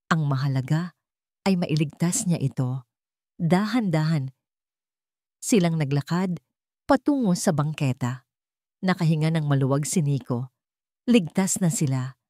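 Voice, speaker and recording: A woman's voice: low voice, warm tone, no room sound, clean studio sound. A woman reading a scripted story as a dramatic narrator.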